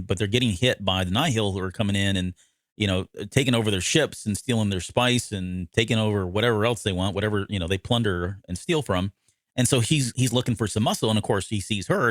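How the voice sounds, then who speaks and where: Low-voiced American man, casual podcast co-host. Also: fairly bright tone, no room sound, clean studio sound. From American Midwest. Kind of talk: casual recap chat.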